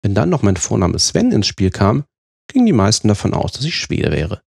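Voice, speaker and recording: A man from Germany giving a scripted, measured explanation step by step, like a presenter. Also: fairly low pitch, wildly swooping pitch, creaky, a little mellow, husky, no echo, studio quality.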